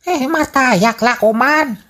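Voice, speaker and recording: A woman's voice: sits high, slow, a bit bright, heavily acted. A woman with an Ilocano accent playing a sickly, stubborn old father in a comedy radio drama, plaintive and obstinate. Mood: aggrieved, insistent.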